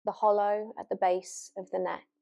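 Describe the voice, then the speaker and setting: A woman yoga nidra teacher leading a guided relaxation meditation gently and without hurry, leaving long pauses. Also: mid-range voice, level tone, creaky. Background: new-age music.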